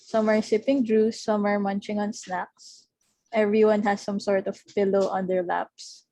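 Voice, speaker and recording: Woman, Filipino accent, mid-pitched, narrating a script reading, casual instructions, dry acoustic. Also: flat delivery, measured pace, dark tone, no echo, rough recording. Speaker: narrator reading stage directions at a table read. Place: online video call.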